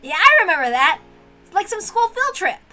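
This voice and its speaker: Playful teen girl for a visual novel, done by a woman reader: high, bright, teasing, animated. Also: fairly quick, soft background music, exaggerated voice. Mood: nostalgic.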